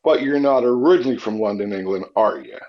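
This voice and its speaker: Male radio host, teasing, husky voice, muffled lo-fi sound. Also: on the low side, fast. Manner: lively, teasing questions. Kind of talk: radio interview.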